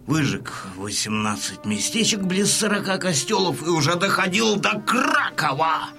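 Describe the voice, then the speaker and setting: A man's voice: high-pitched, very animated, moderate pace, vocal fry, sharp tone, faint music behind, heavily acted. An actor playing a sorrowful wounded Cossack who tells his tale solemnly and dramatically in an audiobook drama. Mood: grave, epic.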